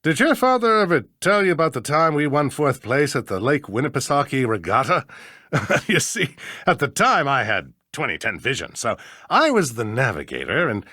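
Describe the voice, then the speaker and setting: An actor playing a pompous, grumbling older small-town judge with an American accent in a video game, in a blustery, theatrical manner. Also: male voice, medium pitch, very animated, moderate pace, bright, forceful tone, dry recording, clean studio sound. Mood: chatty, affable. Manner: blustery, theatrical, throat-clearing, self-important.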